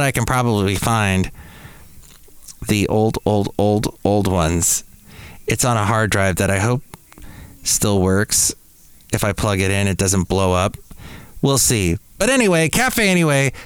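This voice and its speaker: A man's voice: on the low side, lively intonation, unhurried, smooth, dry recording. A middle-aged man hosting a podcast, rambling casually and playfully interrupting himself. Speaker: podcast host. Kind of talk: casual solo podcast commentary.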